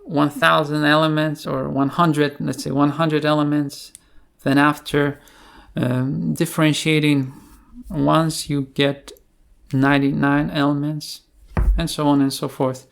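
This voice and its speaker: Slow, creaky-voiced man explaining code. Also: fairly high pitch, level tone. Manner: hesitant, halting explanations with pauses. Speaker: tutorial presenter. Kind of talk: unscripted screencast coding tutorial.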